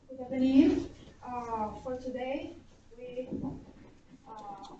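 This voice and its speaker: Halting woman presenter in a very echoey hall. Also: sits high, mellow. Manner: halting non-native English, apologetic. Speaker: presenter introducing guest speakers. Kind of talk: reading prepared introductions aloud. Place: echoey indoor hall at a live event. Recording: very echoey hall, distant muffled mic, faint noise.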